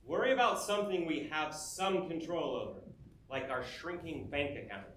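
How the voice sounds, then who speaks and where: A nagging husband and manager in a stage musical. His voice is high and swings widely in pitch, emphatic and full of complaint. The room around him echoes. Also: animated, normal speed, fairly bright tone, slight background noise. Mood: irritated, dry. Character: exasperated, nagging husband and business manager of a star. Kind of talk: theatrical staged musical reading.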